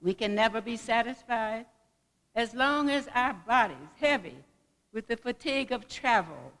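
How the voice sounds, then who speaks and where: A woman delivers oratory slowly, phrase by phrase. Her voice is a bit high and trails into the long echo of a big hall. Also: lively intonation, a bit bright. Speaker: guest reader at a commemorative event. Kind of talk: dramatic speech reading, oratory. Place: large indoor hall at a college event.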